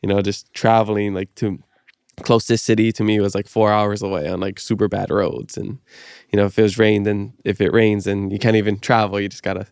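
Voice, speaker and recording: Hawaiian-speaking guy hosting a podcast, kinda low smooth voice, keeps saying like and you know. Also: flat delivery, a little fast, a little mellow, no echo, clean studio sound. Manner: loose and rambling, full of "like" and "you know". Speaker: podcast host. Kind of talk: casual chat.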